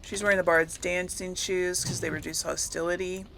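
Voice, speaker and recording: A woman's voice: lowish voice, steady tone, moderate pace, gravelly, a bit bright, slight background noise, low-quality recording. A woman giving casual, rambling commentary as she plays a game, often correcting herself and lightly amused. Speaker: gaming host.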